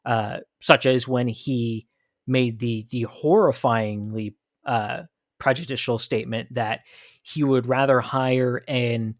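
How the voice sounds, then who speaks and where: Guy doing an opinion podcast, punchy and repetitive, asks questions then answers them, mid pitch, dry close sound. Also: fairly even intonation, slow, dry recording. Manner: emphatic, rhetorical questions, repeats phrases. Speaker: podcast host. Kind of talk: opinionated commentary.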